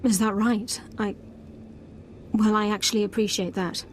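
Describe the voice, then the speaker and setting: A woman's voice: mid-range voice, level tone, normal speed, slight background noise, natural voice. Woman acting a blunt, world-weary squad commander. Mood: resigned. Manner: blunt, matter-of-fact. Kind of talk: video game drama acting.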